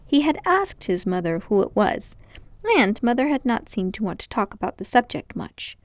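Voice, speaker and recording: A woman's voice: medium pitch, very animated, moderate pace, dry recording. A woman storyteller reading a children's book aloud as narration.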